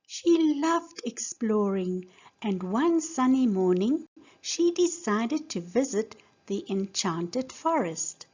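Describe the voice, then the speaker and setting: A woman teacher giving a scripted lesson and narrating a storybook in a deliberate, simple way for young learners. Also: on the high side, sing-song, a little mellow, slightly rough, dull audio. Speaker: English teacher reading a children's story.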